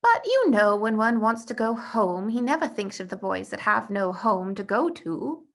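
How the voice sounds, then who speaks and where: A woman's voice: medium pitch, very animated, normal speed. A woman voicing a lonely, homesick young schoolboy in a storybook, speaking in a plaintive, emphatic way. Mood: miserable.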